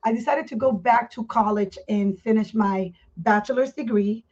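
A woman, her voice set a little high. She talks candidly as a podcast guest, circling back and restarting her phrases. The sound is muffled and dull. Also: a little mellow. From Puerto Rico. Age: 50s. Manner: candid, earnest, speaks in halting restarts. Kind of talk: personal life story in a podcast interview.

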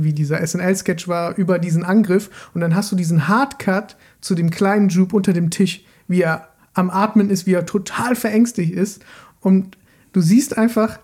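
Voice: medium pitch, warm tone. A podcast co-host in a casual film discussion, explaining eagerly and often repeating words while working out a thought.

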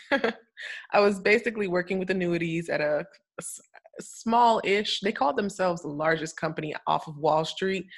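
A young woman from Florida, chatting as a guest about her cybersecurity career and laughing often between phrases. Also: on the low side. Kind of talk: casual interview talk.

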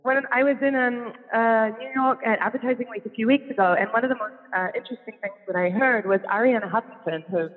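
A man's voice: extremely high pitch, fast, hardly any pauses, slightly rough. A man with a New Zealand accent, chatting as a podcast guest, laughing often and restarting his sentences. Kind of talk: casual interview talk. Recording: phone-like line with long reverb.

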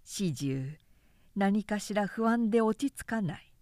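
A woman reading a literary period story aloud as narrator, in a measured, expressive storytelling manner. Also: mid-range voice, no echo, clean studio sound. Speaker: narrator, reciter.

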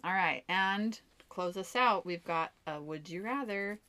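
A woman's voice: fairly low pitch, unhurried, bright, forceful tone. Upbeat woman coaching listeners. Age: adult mom. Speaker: podcast co-host. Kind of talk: casual podcast chat.

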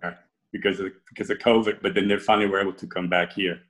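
A middle-aged man from Cuba talks about his work in a relaxed interview. His voice sits low, and he wanders through his thoughts with restarts and fillers. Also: fast talker. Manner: rambling, many restarts and fillers. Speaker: artist being interviewed. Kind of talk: casual interview conversation.

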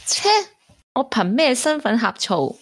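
A coy young woman with a Hong Kong accent and a jealous streak. Her pitch is high and very lively. She teases in radio-drama style. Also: unhurried, exaggerated voice. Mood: teasing jealousy. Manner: teasing, expressive. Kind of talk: Cantonese radio drama acting.

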